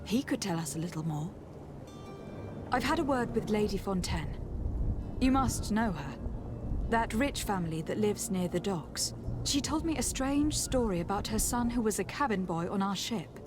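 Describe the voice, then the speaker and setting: A woman's voice: mid-range voice, lively intonation, moderate pace, music in the background, lightly acted. A young woman playing a courteous, composed noble envoy in a video game, speaking formally and asking probing questions. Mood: thoughtful. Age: young adult. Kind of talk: dramatic game dialogue.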